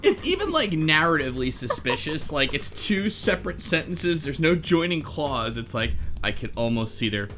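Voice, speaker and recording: A man's voice: on the high side, big pitch swings, normal speed, few pauses, noisy background. A man co-hosting a casual group chat, explaining the plot of a game. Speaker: podcast co-host explaining a game's plot. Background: background music.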